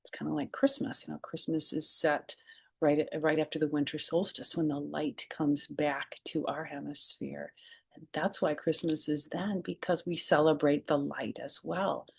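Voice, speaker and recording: Woman reading aloud, slightly low-pitched. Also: steady tone, normal speed, creaky, darkish tone, slightly rough. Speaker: storytime host reading a picture book to children. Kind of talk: expressive read-aloud of a children's book with chatty asides.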